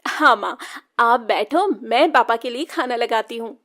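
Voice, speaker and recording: Cartoon daughter, played by a woman: spirited, high-pitched, whiny and headstrong, unhurried delivery. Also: a bit bright. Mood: delighted. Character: spirited, pouty young daughter, pleading and stubborn. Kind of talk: children's cartoon story acting.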